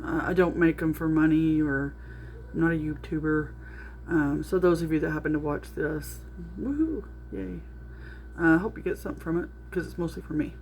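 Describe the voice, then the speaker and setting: A middle-aged woman with a naturally loud voice, talking through a casual video diary with lots of ums and restarts. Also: low voice, normal speed, a little hiss or hum. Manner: rambling, with frequent ums and restarts. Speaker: vlogger.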